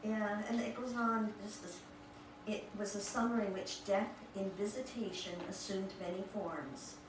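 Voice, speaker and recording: A woman talking informally to a group and reading book passages aloud, with halting, admiring asides. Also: mid-range voice, steady tone. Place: echoey room with a small group present. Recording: echoey room, distant mic, clear background noise.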